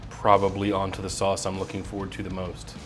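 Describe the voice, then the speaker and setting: A man with a fairly low voice hosts a casual interview. He puts his questions conversationally, with faint music underneath. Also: monotone, brisk.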